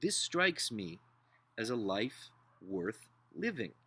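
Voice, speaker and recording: A man playing an earnest, idealistic upper-class nineteenth-century gentleman in a dramatic book reading, solemn, deliberate and oratorical. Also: mid-range voice, very animated, slightly rough, full character voice. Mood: impassioned.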